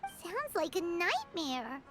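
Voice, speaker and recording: Little childlike fairy, extremely high-pitched, squeaky, perky, blunt, video game companion, music behind. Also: female voice, huge pitch swings, slow pace, full character voice. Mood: dismayed.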